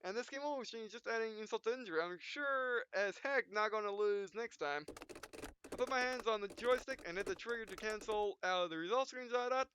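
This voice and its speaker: A man's voice: high-pitched, a little fast, sharp tone, clear, resonant tone, no echo. A man playing a visual novel, reading its text and punctuation aloud in a mock-dramatic way between casual remarks. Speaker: let's play gamer.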